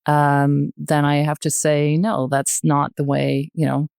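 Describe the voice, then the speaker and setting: An older woman talks as a podcast guest. Her voice sits low and the sound is dry. She thinks aloud with emphasis, often checking that the listener follows. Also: flat delivery, slow pace, vocal fry, a little mellow, smooth, no echo. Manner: emphatic, searching phrasing, often checking with "right?". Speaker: podcast guest, retired journalist. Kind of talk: reflective podcast discussion.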